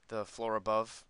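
A man's voice: lowish voice, creaky, fairly bright tone, smooth, no room sound. A man giving casual, unscripted gameplay commentary, rambling as he thinks aloud. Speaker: gaming video host.

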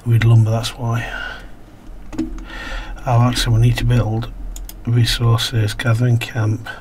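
Croaky-throated man narrating a game. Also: mid-range voice, completely flat delivery, measured pace, background noise. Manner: mumbles to himself while playing, reads game text aloud. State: croaky throat, slight cough. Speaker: gaming video host. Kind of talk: casual let's-play commentary.

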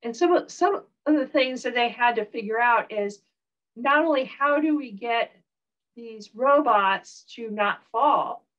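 A woman professor with a Southern US accent giving a recorded online lecture, relaxed, with frequent ums and uhs. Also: fairly high pitch, a little slow, highs cut off.

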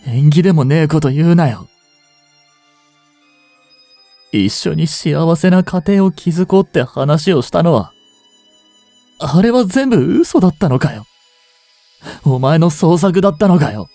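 Casting: male actor as young cop boyfriend for audio drama, mellow and breathy, emotional, halting and slow. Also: a little high, expressive, dry recording, faint music behind. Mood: anguished, urgent. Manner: halting, emotional delivery with sighs and pauses. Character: anguished young male police officer, devoted boyfriend. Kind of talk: dramatic Japanese situation voice acting.

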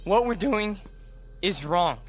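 An actor playing an earnest young alien man in a radio drama, pleading urgently and haltingly. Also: high voice, lively intonation, faint music behind.

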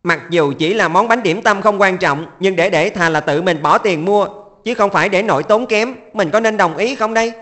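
Woman as an earnest, measured young schoolboy. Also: mid-range voice, fairly bright tone, no echo, lightly acted. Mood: pondering. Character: thoughtful, dutiful young schoolboy, middle sibling. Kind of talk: cartoon dub.

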